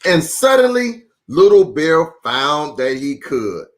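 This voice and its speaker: Slow, playful male children's storyteller. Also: on the high side, very animated. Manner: encouraging, playful, deliberate with drawn-out phrases. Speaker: children's storytime host, pastor. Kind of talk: animated picture-book reading and chat for young children.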